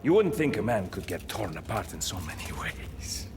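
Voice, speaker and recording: An actor playing a smug, treacherous mercenary boss in a game, theatrical and oily. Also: male voice, lowish voice, big pitch swings, moderate pace, over music. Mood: smug, mocking. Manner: oily mock politeness, theatrical swings. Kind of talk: video game cutscene acting.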